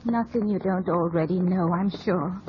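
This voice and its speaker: An actress playing a pointed, jealous young gentlewoman in an old radio drama. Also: fairly low pitch, moderate pace, natural voice. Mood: catty, jealous. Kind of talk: 1950s radio drama acting. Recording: muffled, hissy old radio broadcast.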